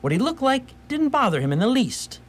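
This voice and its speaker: A man playing a wry storyteller, narrating a scripted radio theatre story in a measured way. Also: extremely high pitch, very animated, slight background noise. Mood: wry narration.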